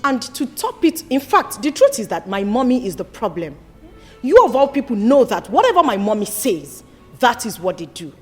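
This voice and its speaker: A fiery high-voiced daughter. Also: female voice, sing-song, moderate pace, faint music behind, heavily acted. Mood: grave. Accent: Nigerian. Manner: scolding, sharp and fiery. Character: angry young woman confronting her family. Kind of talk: film drama acting.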